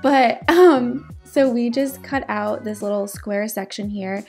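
A woman's voice: a little high, slow, darkish tone, soft background music. A woman doing a casual, chatty crafting voice-over for her vlog. She is sick, and her voice cracks now and then. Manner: chatty, upbeat, fillers. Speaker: DIY vlogger. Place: home, indoors.

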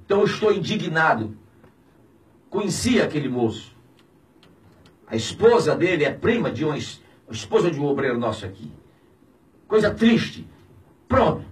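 A Brazilian pastor in his fifties rails in an indignant, preaching tone, now shouting, now dropping low. His voice sits high and swings wildly in pitch. He sounds a little off-mic in a small radio studio. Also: very animated, normal speed, pauses often, far from the mic, poor audio. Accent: Brazilian Portuguese. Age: 50s. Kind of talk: impassioned radio commentary. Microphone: studio mic on a boom, filmed from a distance.

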